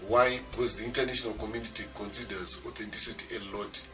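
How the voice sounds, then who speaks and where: Zimbabwean man, low voice, mic far off. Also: steady tone, fast talker, pushed and bright, faint music behind. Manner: mixes English and Shona mid-sentence, explanatory. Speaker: music producer giving a seminar. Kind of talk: WhatsApp voice-note lecture. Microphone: phone.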